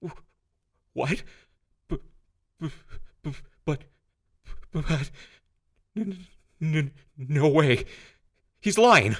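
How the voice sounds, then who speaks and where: A woman's voice: sits low, animated, drawn-out words, pauses often, breathy edge, full character voice. A woman playing a panicky, squeamish deputy guard who stammers nervously in a post-apocalyptic radio drama. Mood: nervous, panicked.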